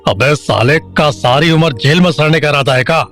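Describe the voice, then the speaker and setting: A man's voice: fairly high pitch, normal speed, a bit bright, soft background music, natural voice. A man playing a blunt rustic small-town father. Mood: gruff. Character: gruff middle-aged small-town uncle and father. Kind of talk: audio drama acting.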